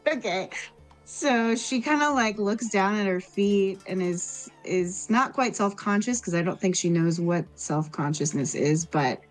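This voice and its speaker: A woman's voice: mid-range voice, expressive, a little slow, faint music behind. A woman chatting and narrating casually as a player in a tabletop roleplaying game.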